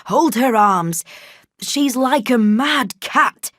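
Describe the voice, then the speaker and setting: A woman's voice: on the high side, big pitch swings, unhurried, husky, no echo, studio quality, voice pushed up, exaggerated voice. A woman voicing a harsh, self-righteous servant woman who scolds sharply. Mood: scolding.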